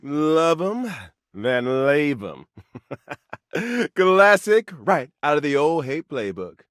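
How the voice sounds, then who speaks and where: A man's voice: a little high, big pitch swings, slow pace, fairly bright tone, no room sound, studio quality, exaggerated voice. A man playing a sardonic, spiteful schemer in a video game, savoring his words. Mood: amused, scornful. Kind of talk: video game character acting.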